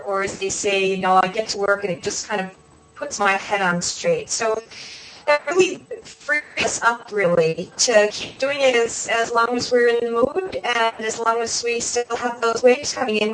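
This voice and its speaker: A woman's voice: on the high side, a bit bright, poor audio. A woman talking casually as an interview guest, chatty and conversational, thinking aloud as she goes. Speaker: interview guest, video podcast host.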